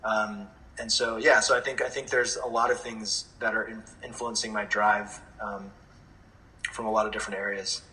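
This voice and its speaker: A man speaking candidly and earnestly in a reflective interview, often pausing and restarting as he thinks. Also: on the low side, little pitch movement, bright, forceful tone, low-quality recording. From born in America to Indian parents, grew up in several countries. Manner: earnest, candid, hesitant with frequent throat clearing. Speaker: interview guest, dance music producer.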